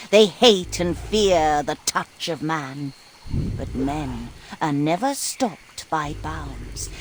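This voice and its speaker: A woman playing a video game's storybook narrator, telling dark rhyming folktales in a measured, theatrical way. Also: fairly low pitch, a little hiss or hum.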